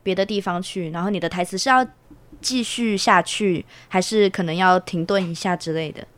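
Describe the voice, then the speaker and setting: A woman's voice: fairly low pitch, normal speed, dry recording. A young woman, a student guest, explaining her experience in casual conversational speech. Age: young adult.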